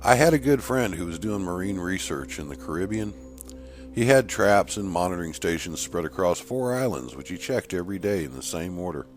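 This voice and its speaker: A man's voice: deep voice, expressive, brisk, faint music behind. A man voicing an earnest male scientist who recounts events emphatically in an audio horror story. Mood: earnest, emphatic. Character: male shark researcher earnestly recounting an attack.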